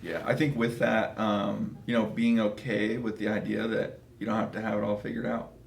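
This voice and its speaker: A man's voice: on the low side, flat delivery, normal speed, vocal fry. A man in his twenties talking casually and emphatically as an interview guest, in an echoing conference room. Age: 20s. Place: small office conference room. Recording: roomy, echoing office.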